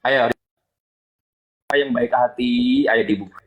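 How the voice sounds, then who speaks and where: A man's voice: medium pitch, steady tone, slow pace, lo-fi sound, noisy background. A man argues informally. He is pushy and taunting, cutting in and repeating his demands over and over. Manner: taunting, pushy, repetitive, interrupting. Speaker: debate participant. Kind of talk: informal live argument.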